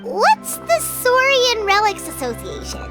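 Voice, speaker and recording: A tiny fairy sidekick from a video game, with an extremely high, childlike squeak. She is bubbly, curious and excitable, swinging widely in pitch over loud music. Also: a little slow, exaggerated voice. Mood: inquisitive.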